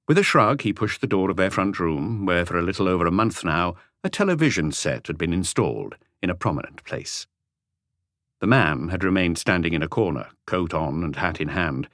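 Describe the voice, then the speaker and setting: A man's voice: low-pitched, expressive, normal speed, fairly bright tone, no echo, clean studio sound. A man reading scripted narration as the narrator of a mystery audiobook.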